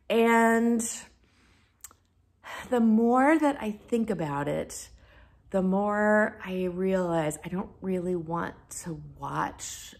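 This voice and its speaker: A woman talking casually and candidly to her viewers as a book vlogger, leaning on key words for emphasis. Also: on the low side. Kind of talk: casual chatty monologue to camera about reading plans.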